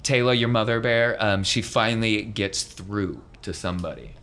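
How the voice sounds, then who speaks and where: A man's voice: on the low side, moderate pace, fairly bright tone, clear, resonant tone. A man working as game master, improvising vivid, casual narration for a tabletop game. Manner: vivid, gory description in casual slang.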